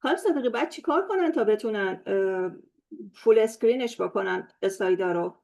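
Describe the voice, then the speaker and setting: A woman moderating a webinar, introducing a speaker with frequent pauses and fillers. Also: medium pitch, animated, gravelly, a little mellow. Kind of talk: hosting a webinar.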